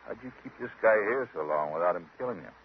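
Gruff police officer: American, very low, matter-of-fact, dull vintage radio thriller recording with faint hiss. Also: male voice, expressive, fast talker. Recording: muffled, noisy 1950s radio recording.

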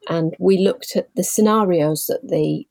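A woman in her forties from Leeds, a guest telling her story in a measured, thoughtful way with frequent pauses. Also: on the low side, gravelly, a little mellow, dry recording. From Leeds, England. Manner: measured and thoughtful, pausing often. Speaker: guest, patient involvement manager. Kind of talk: reflective personal storytelling.

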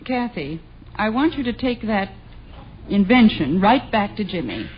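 An actress playing a patient but firm middle-aged American mother, gently scolding in a 1950s radio sitcom. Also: medium pitch, sing-song, unhurried. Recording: very noisy muffled radio, loud audience.

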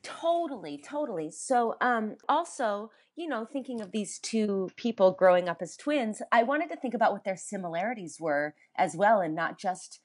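A woman, a stage actor, answering interview questions in a thoughtful, chatty way, with a higher, young-sounding voice. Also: expressive, normal speed, hardly any pauses. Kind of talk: interview, relaxed reflective answers.

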